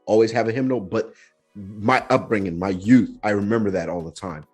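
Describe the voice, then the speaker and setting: A man with a Nigerian accent hosts a casual podcast chat, his voice husky and a little breathy. He tells his stories with energy and puts on the voices of the people he quotes. Soft music plays faintly behind him. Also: lowish voice, a little fast. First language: Yoruba. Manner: animated storyteller, acts out quotes. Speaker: podcast host.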